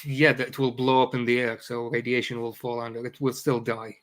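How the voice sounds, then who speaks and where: A man's voice: mid-range voice, flat delivery, brisk, fairly bright tone. A middle-aged man from Iraq, a native Arabic speaker, chatting casually as a podcast guest, jokey and full of hesitant fillers. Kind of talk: casual conversation.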